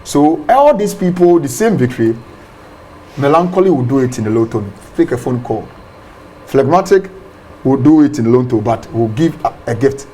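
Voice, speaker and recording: A man, a pastor explaining ideas in an interview, animated and often repeating words. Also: medium pitch, normal speed, slight background noise, poor audio. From Ghana.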